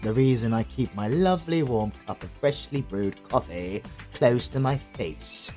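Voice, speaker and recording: A man's voice: mid-range voice, a little mellow. A British man playing a retired supervillain in a comedy audio roleplay, dry, pompous and articulate, with sardonic exasperation.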